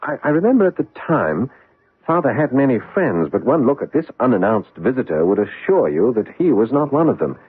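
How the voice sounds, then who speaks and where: An actor playing a polite gentleman's son in a radio drama, earnest, hesitant and anxious. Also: medium pitch, sing-song, brisk, breathy edge, no echo. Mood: recalling uneasily. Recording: muffled vintage radio broadcast, dry sound.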